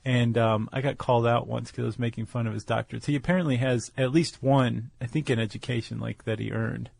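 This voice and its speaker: A man co-hosting a podcast, explaining things in an informal, conversational way with loose analogies and a creaky, gravelly voice. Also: medium pitch, steady tone, a little fast, a little mellow, no echo. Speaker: podcast co-host. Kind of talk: casual explanatory chat.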